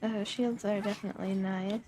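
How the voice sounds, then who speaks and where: A woman's voice: mid-range voice, steady tone, normal speed. A young woman commenting casually on her gameplay, thinking aloud in a hesitant way with many fillers and trailing phrases. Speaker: game streamer.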